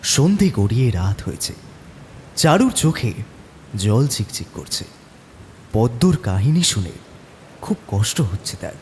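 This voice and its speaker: Male story narrator: lively swinging intonation, mid pitch, dramatic scripted read over faint rain and thunder. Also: measured pace, long pauses. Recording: storm noise behind voice. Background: storm, rain and thunder effects.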